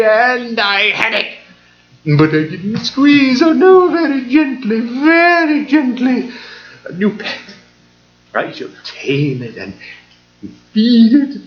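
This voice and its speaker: Sly, giggling madman for a radio play, high-voiced and drawn out. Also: a bit bright, highs cut off, exaggerated voice. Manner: wheedling, giggling, pleading. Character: mad asylum inmate, grovelling then menacing man. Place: stage before a live audience.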